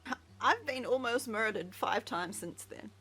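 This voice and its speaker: A woman's voice: on the high side, lively intonation, a little slow, fairly bright tone, husky. A woman chatting in character during a roleplay game, wry and teasing. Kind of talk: casual roleplay chat in character.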